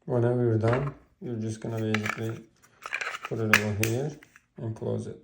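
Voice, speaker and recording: Low, monotone, gravelly man. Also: normal speed, heavy background noise. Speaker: product reviewer. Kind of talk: casual product demonstration.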